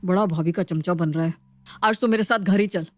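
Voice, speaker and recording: A woman's voice: lowish voice, brisk, darkish tone, dry recording, lightly acted. A woman voicing a grumpy, self-pitying young husband who complains his way through a domestic story. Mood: grumbling. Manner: complaining, dismissive.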